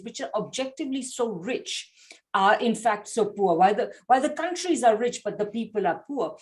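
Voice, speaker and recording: A woman's voice: mid-range voice, darkish tone. A woman speaking on a panel over a video call, emphatic and rephrasing as she goes. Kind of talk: panel discussion remarks.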